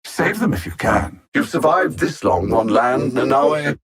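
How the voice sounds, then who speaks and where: A man voicing a cold, arrogant alien conqueror in a video game, articulate, theatrical and condescending, with a husky voice. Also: medium pitch, lively intonation, a little slow, crowd noise. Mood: condescending taunting. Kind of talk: video game villain voice lines.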